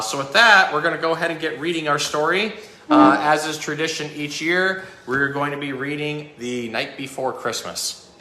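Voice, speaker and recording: A man's voice: medium pitch, sharp tone, slight background noise. A man presenting animals as a zookeeper, talking casually and informatively in a roomy space. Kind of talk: casual educational talk about animals. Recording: echoey, roomy sound.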